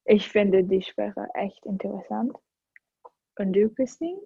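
Very slow, mellow teen girl. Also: mid-range voice, expressive, creaky, slightly rough, dry recording, dull audio. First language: Greek. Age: teens. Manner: deliberate, searching for words. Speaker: student podcaster. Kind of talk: casual chat.

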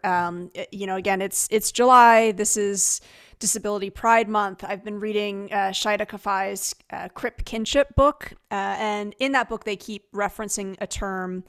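A woman's voice: mid-range voice, clean tone. Woman, podcast host, reflective, conversational, mid-range voice. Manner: warm-toned, reflective, with fillers and restarts. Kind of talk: podcast interview.

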